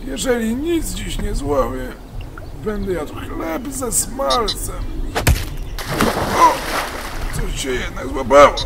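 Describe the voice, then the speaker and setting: A man's voice: high-pitched, gravelly, background noise, exaggerated voice. A man acting as a grumbling old fisherman in a comic-book radio play. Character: grumbling old amateur angler.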